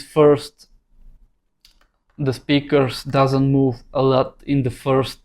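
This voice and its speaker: Slow, halting male tutorial instructor. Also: mid-range voice, darkish tone. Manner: halting, thinking aloud in short phrases. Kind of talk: unscripted screen-recorded tutorial narration.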